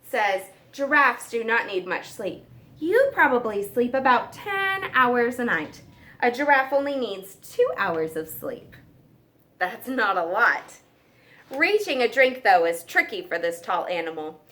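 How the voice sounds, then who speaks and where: A woman teacher reading a nonfiction book aloud to children, stressing key words and pausing for simple questions and explanations. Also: a little high, sing-song, fairly bright tone.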